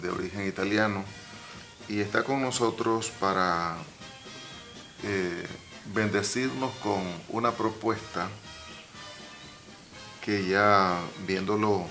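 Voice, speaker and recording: A man hosting a church radio program and introducing his guest in a studio, talking slowly with many pauses and restarts. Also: fairly low pitch, bright, forceful tone. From Nicaragua. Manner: slow, halting, many fillers and restarts. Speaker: radio program host, pastor. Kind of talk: interview introduction.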